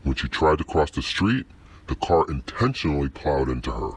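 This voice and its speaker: A man playing a grave, accusing anonymous informant in an audio drama, with a husky voice. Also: very deep voice, sing-song, moderate pace, full character voice. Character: anonymous male informant, grave eyewitness to murder.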